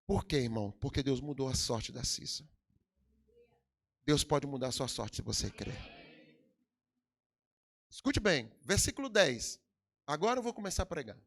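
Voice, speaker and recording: A man's voice: medium pitch, expressive, normal speed, long pauses, a bit bright. A middle-aged Brazilian man with a Brazilian Portuguese accent, preaching a sermon in a church with emphatic swells and sudden drops. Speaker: pastor. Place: church hall.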